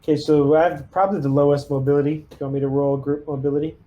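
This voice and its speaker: A man chatting casually as a player in a tabletop roleplaying game, with an excitable manner. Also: fairly high pitch, very fast, highs cut off, slight background noise.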